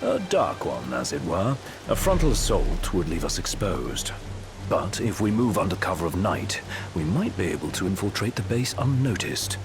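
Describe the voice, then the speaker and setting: Game music plays under a young royal strategist. He is precise and articulate in a low voice, with a lively melody to his formal phrasing. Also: normal speed. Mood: calm, calculating. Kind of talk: video game cutscene acting.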